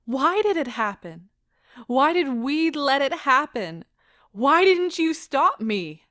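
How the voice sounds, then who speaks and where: An actress playing an anguished, remorseful but defiant wife in an audio drama, speaking in an impassioned, deliberate, theatrical way. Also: female voice, high-pitched, big pitch swings, sharp tone, no echo, clean studio sound, full character voice. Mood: desperate pleading. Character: anguished wife confessing to her husband, remorseful, wounded, bitterly defiant.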